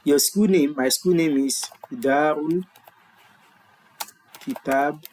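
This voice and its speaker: A man's voice: a little high, takes it slowly, a little mellow, a little hiss or hum. A Nigerian man whose first language is Yoruba, walking viewers through an online form in a screen-share tutorial, explaining patiently and correcting himself as he goes. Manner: explanatory, repeats and self-corrects. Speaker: tutorial presenter. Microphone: webcam in corner of screen recording.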